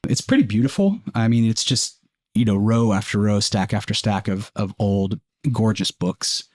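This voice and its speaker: A man's voice: lowish voice, moderate pace, darkish tone, dry recording. A man working as game master, improvising descriptions in an informal, conversational way. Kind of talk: improvised tabletop game narration.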